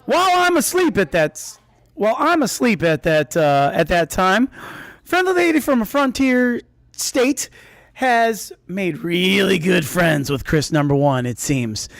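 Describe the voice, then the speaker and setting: A man's voice: high-pitched, very animated, a little slow, vocal fry, a bit bright, no echo. A man telling a comedic personal story to an audience, rambling and interrupting himself with asides.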